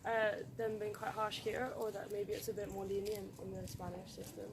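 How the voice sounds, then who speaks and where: A woman's voice: medium pitch, flat delivery, normal speed. A young woman student chatting casually, peppering her talk with "like". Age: young adult. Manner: chatty, heavy use of "like". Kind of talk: casual chat. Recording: noisy background.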